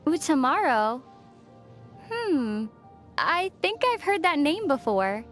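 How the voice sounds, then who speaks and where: A woman playing a gentle, thoughtful young female traveler in video game dialogue, speaking in a measured, soft-spoken way. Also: sits high, big pitch swings, heavily acted. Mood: gently teasing. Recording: faint music under the voice. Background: faint background music.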